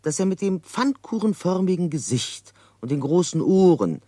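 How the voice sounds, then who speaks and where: Lively, pondering male commentator. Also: high-pitched, moderate pace, dry recording, studio quality. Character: pondering male commentator turning wordplay over. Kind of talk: literary radio play recitation.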